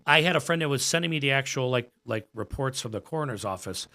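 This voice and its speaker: A man's voice: medium pitch, lively intonation, quick pace, bright, forceful tone. An American man in his forties chatting casually as a podcast guest, earnest and emphatic, often repeating his phrases. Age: 40s. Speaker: podcast guest, gym owner and coach.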